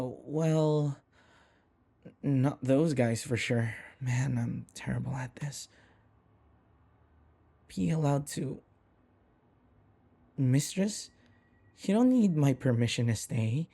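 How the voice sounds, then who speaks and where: A nervous, devoted young manservant played by a man in an intimate audio roleplay. His voice is mellow and gravelly, with a soft breathiness. He speaks slowly and hesitantly, stammering with deference as a submissive human servant to a vampire mistress. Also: on the high side, exaggerated voice. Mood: flustered, gentle.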